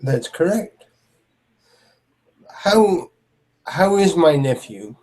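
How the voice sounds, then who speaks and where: A man voicing a huge, gentle male troll knight who speaks with formal, soft-spoken courtesy. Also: fairly high pitch, big pitch swings, very slow, full character voice. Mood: courtly.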